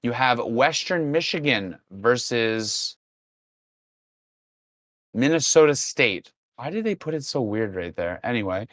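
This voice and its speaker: Hoarse man talking sports. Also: mid-range voice, animated, a little slow, a bit bright, dry recording. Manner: rambling, self-correcting. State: hoarse, lost his voice. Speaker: podcast co-host. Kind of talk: casual sports chat.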